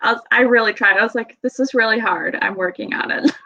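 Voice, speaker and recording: Young woman, Wisconsin accent, giggly and chatty, bright higher voice, dull lo-fi recording. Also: brisk, gravelly. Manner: giggly, chatty, lots of fillers and laughs. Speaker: podcast guest. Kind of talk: casual interview chat. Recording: dull lo-fi sound.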